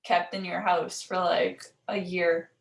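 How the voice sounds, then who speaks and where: An enthusiastic woman interviews a guest in a relaxed, gushing way, cheering on her answers. Her questions come out light and chatty. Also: on the low side, slow, creaky. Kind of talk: casual interview chat.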